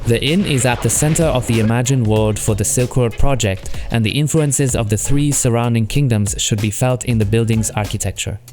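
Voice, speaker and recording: A man with a French accent, a solo game developer narrating his monthly progress in a calm, earnest, scripted way. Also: mid-range voice, a little fast, hardly any pauses, soft background music. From France.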